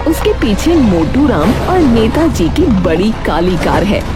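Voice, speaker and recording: A woman narrating an animated story in a dramatic, scripted storytelling style. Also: lowish voice.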